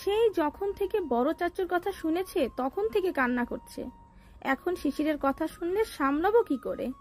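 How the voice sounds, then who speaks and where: A woman's voice: very high voice, expressive, normal speed, a little mellow, soft background music, voice pushed up. A woman voicing a crying young woman who speaks anxiously, worried about her family. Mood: tearful worry. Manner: pleading, worried.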